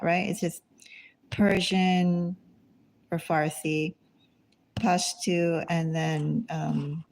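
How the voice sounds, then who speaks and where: A woman's voice: fairly low pitch, creaky, a little mellow, rough recording. A woman giving a casual tarot reading, rambling and thinking aloud, asking herself small questions as she goes. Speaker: tarot reader. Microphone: phone.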